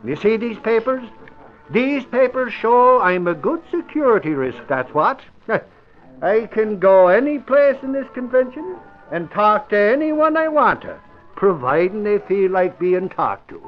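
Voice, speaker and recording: A man's voice: sits high, very animated, unhurried, faint music behind. An actor playing a vain, bumbling, girl-struck newspaper reporter who boasts and stammers, in old-time radio comedy style. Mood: boastful. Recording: muffled old radio recording.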